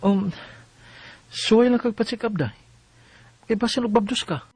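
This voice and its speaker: An actor with a Cebuano accent playing an earnest, concerned young husband in a Bisaya radio drama. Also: male voice, sits high, moderate pace, darkish tone, breathy edge, dry recording.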